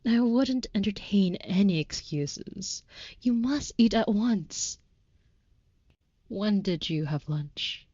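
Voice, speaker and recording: A woman voicing a devoted, youthful but centuries-old vampire lover in an intimate audio roleplay, speaking softly with breathy, tender, archaic formality. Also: medium pitch, animated, slow, dry recording, muffled recording. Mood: worried, insistent.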